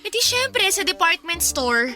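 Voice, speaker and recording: Bright, lively young Filipina girlfriend. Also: very high-pitched, measured pace, faint music behind, exaggerated voice. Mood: teasing, defensive. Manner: playful, casual, coaxing. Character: young, breezy, generous girlfriend who loves spending. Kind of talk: Filipino radio drama dialogue.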